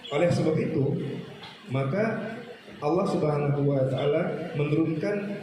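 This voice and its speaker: A man's voice: a little high, unhurried. Echoey Indonesian male religious teacher lecturing. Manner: didactic, repeats phrases, poses questions to listeners. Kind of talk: Islamic history lecture to students. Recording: very echoey room with clear background noise.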